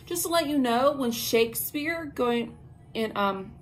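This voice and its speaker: A young woman talks casually into a camera in a small bedroom, her voice sitting a little high. She rambles with plenty of ums and slang while explaining her topic, over a faint hiss of room ambience. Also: expressive, measured pace. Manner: chatty and informal, lots of "um" and slang asides. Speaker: host of a witchcraft talk channel. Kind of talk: casual spoken lesson to camera. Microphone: camera at arm's length.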